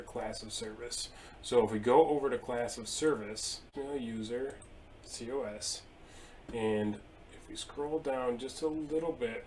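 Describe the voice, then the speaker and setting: A man in his thirties at his desk, casually explaining software settings step by step with a few fillers. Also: medium pitch, a little hiss or hum. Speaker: IT tutorial presenter. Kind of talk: screen-share software walkthrough. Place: indoor room at a desk.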